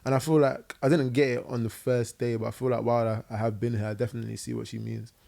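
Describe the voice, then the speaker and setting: Man, London accent, twenties, rapid delivery, gravelly, podcast guest, boom mic. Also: little pitch movement, warm tone. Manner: quick, chatty, lots of fillers and "innit". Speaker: guest musician. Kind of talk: casual podcast interview. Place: living room podcast set. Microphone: boom mic on arm.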